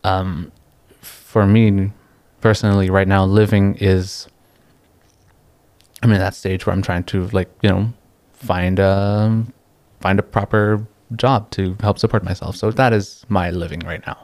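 A young man from the Philippines talks in a laid-back, thoughtful way, breaking off mid-sentence and chuckling now and then. His voice sits a little low. The recording is close and dry, with no room sound. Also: no echo. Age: young adult. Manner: relaxed and reflective, pausing mid-thought, laughing easily. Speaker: guest. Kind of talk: casual interview chat.

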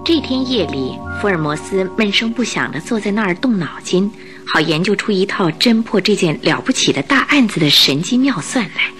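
A woman narrating a scripted radio drama in an expressive storytelling manner. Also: medium pitch, moderate pace, few pauses, faint music behind.

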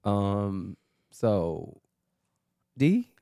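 A man's voice: on the low side, big pitch swings, very slow, gravelly, dark tone, no echo, studio quality. Playful New man co-hosting a podcast. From New York. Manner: playful, hyped, loose and laughing. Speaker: podcast co-host. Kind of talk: casual chat.

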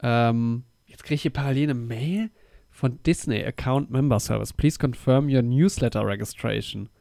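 A man's voice: mid-range voice, moderate pace, vocal fry, mellow, no room sound. Casual podcast co-host, young man, slightly under the weather with a cold, slangy and talkative, close dry sound. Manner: chatty, slangy.

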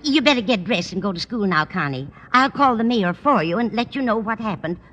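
Lively motherly landlady, reverberant. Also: mid-range voice, fairly quick. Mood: coaxing. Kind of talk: 1940s-50s radio comedy acting. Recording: reverberant old radio recording.

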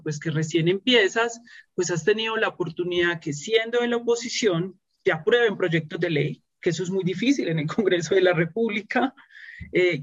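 The sound is dull and lo-fi, with very little room around it. A woman speaks as an interviewer, conversational and supportive. She affirms her guest as she goes. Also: on the low side. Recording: echoey, dull lo-fi audio.

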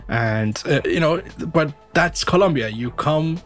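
A man's voice: fairly high pitch, animated, a little slow, faint music behind. A man from western India, an English teacher, talking casually as an interview guest and often rephrasing himself. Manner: rambling, repeats and rephrases himself.

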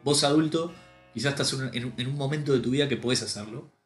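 A man's voice: mid-range voice, quick pace, reverberant, soft background music. A man, hosting a podcast in a chatty, joking way. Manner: chatty, jokey, plays voices in retellings. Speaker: podcast host. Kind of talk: casual podcast conversation.

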